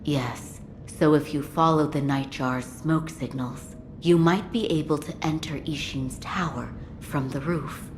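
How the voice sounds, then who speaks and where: A woman playing a calm, observant retainer in a video game, measured, reserved and courteous. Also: low voice, noisy background. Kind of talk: dramatic video game dialogue.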